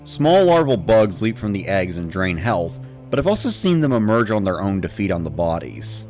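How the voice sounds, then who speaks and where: A man's voice: lowish voice, sing-song, normal speed, darkish tone, faint music behind. A man reading a first-person story as a dramatic narrator, in the style of a gamer recounting play.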